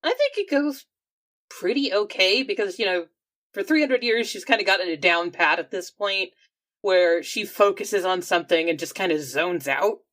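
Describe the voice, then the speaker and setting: A woman's voice: medium pitch, animated, normal speed, fairly bright tone. A woman playing a roleplaying game, casually describing what her character does. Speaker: player in a tabletop roleplaying game. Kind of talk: casual game-table chat, describing own character's actions.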